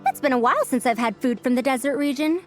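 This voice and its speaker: A woman's voice: high voice, animated, fast talker, faint music behind. A woman voicing a proud, discerning scholar with a formal, appraising manner in a video game. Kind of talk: video game cutscene acting.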